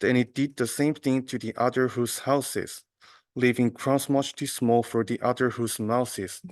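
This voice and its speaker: A young man reads a storybook aloud in a medium-pitched voice. The recording is close and dry, and the delivery follows the verse. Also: steady tone, measured pace, no echo. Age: young adult. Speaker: student reader.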